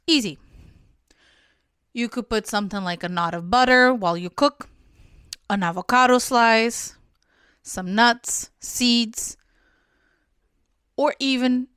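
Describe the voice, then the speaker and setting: A woman's voice: a little high, fairly bright tone, clear, resonant tone, dry recording, studio quality. A young woman from Portugal hosting a solo podcast, giving friendly, instructive advice and sometimes correcting herself mid-sentence. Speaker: podcast host.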